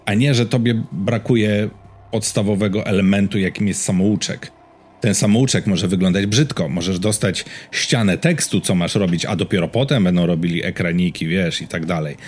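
A man's voice: on the low side, moderate pace, slightly rough. A man chatting casually as a podcast co-host about games and series, in an informal, slangy, rambling storytelling way.

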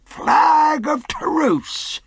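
A man's voice: extremely high pitch, extremely slow, gravelly, dry recording, clean studio sound, pitched above the performer's own voice, full character voice. A man voicing a cheery, cunning pirate leader in a storybook, with a bold, folksy, bellowing delivery. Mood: calling out. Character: Long John Silver, cheery cunning male pirate leader.

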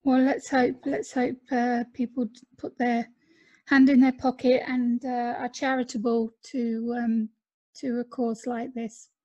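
High-voiced woman interviewer, dry sound. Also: level tone, a little slow, mellow, dry recording, dull audio. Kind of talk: casual conversational interviewing.

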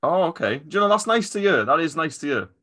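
A man hosting a podcast, animated and quick to laugh and apologise. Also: on the high side. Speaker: podcast host. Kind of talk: casual chat.

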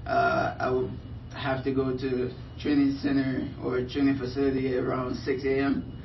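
Young male athlete from Liberia, unsure and filler-heavy, gravelly voice, recorded from a distance with light noise. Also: medium pitch, monotone, normal speed, echoey room, dull audio. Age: young adult. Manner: hesitant, filler-heavy, soft-spoken. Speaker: professional soccer player. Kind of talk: press interview answers.

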